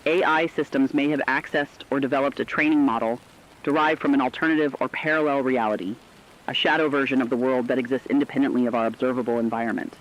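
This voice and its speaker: A man's voice: mid-range voice, fairly even intonation, fast talker, close to an everyday voice. A man playing a serious crisis correspondent in a radio drama, giving formal scripted analysis on a dull, lo-fi recording. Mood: serious, alarmed.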